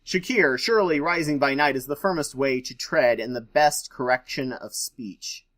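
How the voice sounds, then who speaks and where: A man hosts an informal read-along, switching between reading text aloud and commenting on it. His voice sits at an average male pitch. He comes across as skeptical, with a dry streak of sarcasm. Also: moderate pace, a bit bright. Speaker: host.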